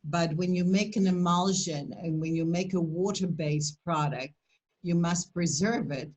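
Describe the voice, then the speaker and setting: A woman's voice: lowish voice, steady tone, a little slow, a little mellow. An older woman from Poland with a Polish accent, presenting and answering questions in a webinar over a video call, speaking in an emphatic, motivational way.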